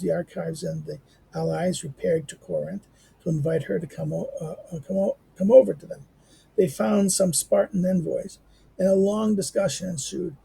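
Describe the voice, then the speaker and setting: Hoarse man with a gravelly voice. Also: high voice, mellow, poor audio. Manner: hesitant, many fillers and restarts. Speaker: teacher reading a classic text aloud. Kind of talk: halting read-aloud with commentary.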